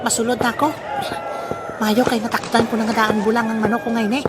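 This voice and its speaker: A woman voices a boastful cockfight gambler with a Western Visayan accent. The voice is high and full of exclamations, played for comedy in an audio drama. There is plainly audible ambient noise. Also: level tone, brisk, natural voice. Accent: Filipino, Western Visayan. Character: boastful, gleeful cockfight gambler with a prized rooster.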